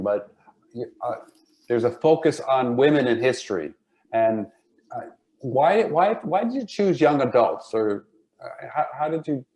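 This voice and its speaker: A man hosting a casual interview over a video call, halting and repeating words as he forms his questions. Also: medium pitch, a little mellow. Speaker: event host, interviewer. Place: indoors, live video call. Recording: roomy, echoing video call audio.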